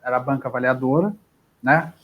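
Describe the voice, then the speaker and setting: A man's voice: medium pitch, brisk, muffled recording. A man, an actor, chatting casually in a live interview about his career, often restarting his sentences. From southern Brazil. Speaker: actor guest.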